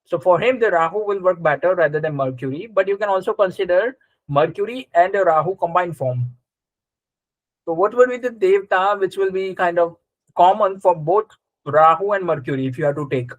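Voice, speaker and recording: A man's voice: high-pitched, expressive, normal speed, no room sound, low-quality recording. A man teaching a live interactive class, explaining conversationally and checking understanding with short questions. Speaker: astrology teacher.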